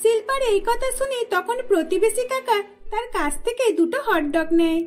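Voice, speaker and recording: Very high-pitched woman storyteller. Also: expressive, normal speed. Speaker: storyteller narrator. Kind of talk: scripted cartoon story narration. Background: faint music.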